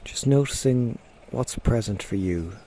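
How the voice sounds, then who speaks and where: A man leading a guided body scan meditation, giving gentle, unhurried instructions with frequent pauses. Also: medium pitch. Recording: faint outdoor nature sounds behind. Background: birds and nature sounds.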